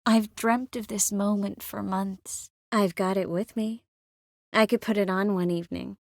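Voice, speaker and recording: An actress voicing a young sorceress in a video game, gentle, earnest and determined, with a measured, expressive delivery. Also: female voice, mid-range voice, vocal fry, no room sound, studio quality. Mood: tender, hopeful. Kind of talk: video game character voice lines.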